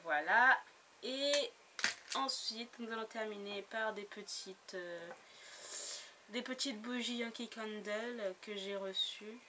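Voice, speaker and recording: A woman's voice: a little high, slow, bright, forceful tone. Woman, book haul vlogger, chatty, giggly, noisy home recording. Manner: chatty, giggly, many fillers and restarts. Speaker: book blogger. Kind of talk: casual book haul vlog.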